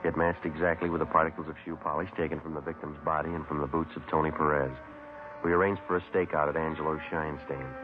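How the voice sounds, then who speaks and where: An actor playing a terse, matter-of-fact police sergeant who narrates and questions in an old radio crime drama. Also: male voice, a bass voice, little pitch movement, fast, music in the background. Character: terse, businesslike male police sergeant narrating his case. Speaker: lead detective and narrator. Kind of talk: clipped procedural radio drama narration and dialogue. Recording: tinny vintage radio recording.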